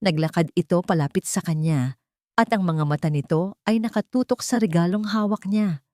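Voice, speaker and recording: A woman's voice: fairly low pitch, normal speed, a little mellow, no echo, studio quality. A woman storyteller reading a scripted, dramatic story narration.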